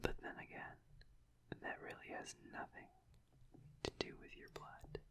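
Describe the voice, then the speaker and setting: A man playing a flirty, tender vampire lover in an intimate ASMR roleplay, half-whispering in a breathy, husky voice with hesitant, teasing murmurs. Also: lowish voice, level tone, slow pace, a little mellow, exaggerated voice. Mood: savoring, intimate.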